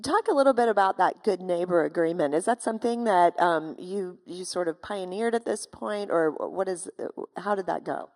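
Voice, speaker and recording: A woman's voice: fairly low pitch, moderate pace, few pauses, darkish tone. A woman moderating a panel discussion, putting questions to the speakers. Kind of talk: interviewing, asking questions.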